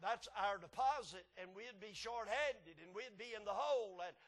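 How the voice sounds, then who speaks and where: A man's voice: high-pitched, moderate pace, fairly bright tone. A man voicing a gushing, grateful restaurant woman in an exclamatory way, as part of a preacher's anecdote.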